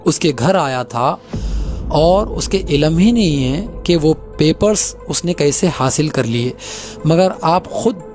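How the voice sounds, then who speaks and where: A man's voice: fairly high pitch, expressive, takes it slowly, darkish tone, soft background music. A man with a Hyderabadi accent addressing viewers in an accusatory, emphatic way, repeating his phrases. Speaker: host of a clarification video.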